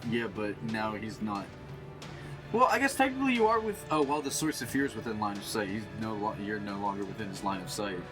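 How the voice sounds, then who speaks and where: A man's voice: fairly low pitch, lively intonation, a little fast. Man playing a tabletop game over music. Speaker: player in a tabletop roleplaying game. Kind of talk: casual game-table chat.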